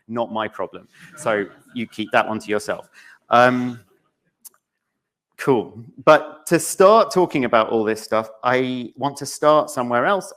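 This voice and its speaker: A man's voice: medium pitch, a bit bright. A man speaking to an audience at a conference, conversational, with wry, casual asides. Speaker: conference speaker, game studio narrative director. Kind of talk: public conference talk, conversational. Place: conference room in front of an audience.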